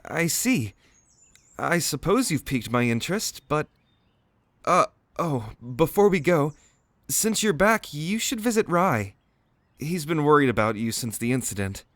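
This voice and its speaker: A performer voicing a soft-spoken, polite young temple singer in a fantasy audio drama, formal and a bit hesitant, often sighing. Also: medium pitch, very animated, unhurried, dry recording, clean studio sound. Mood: reserved. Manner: gentle and formal, with frequent sighs and hesitant fillers. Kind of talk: fantasy audio roleplay acting.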